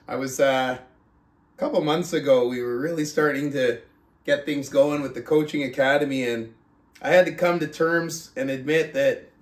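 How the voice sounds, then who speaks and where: A man hosting a book club podcast, talking casually and reading aloud with deliberate, drawn-out emphasis and pauses. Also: medium pitch. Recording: roomy, echoing sound.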